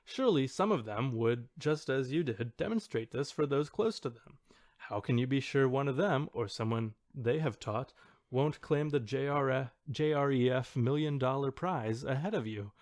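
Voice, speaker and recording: A man's voice: medium pitch, normal speed, darkish tone, dry recording, clean studio sound, close to an everyday voice. A man voicing a pompous, indignant skeptic in a theatrical reading of an online comment. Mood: indignant, imploring. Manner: theatrical, formal, imploring.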